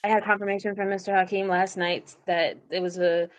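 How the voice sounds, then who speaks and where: A woman's voice: medium pitch, flat delivery, brisk, faint music behind. A woman hosting a podcast about her own stories, chatting casually in an informal, giggly way. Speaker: podcast host and story writer. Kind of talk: casual chat.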